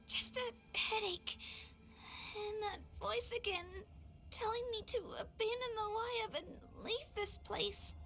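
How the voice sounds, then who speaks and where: A woman's voice: very high voice, takes it slowly, a bit bright, heavily acted. A woman voicing a small, brave and stubborn young girl in a video game, speaking earnestly and with determination. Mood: strained, determined.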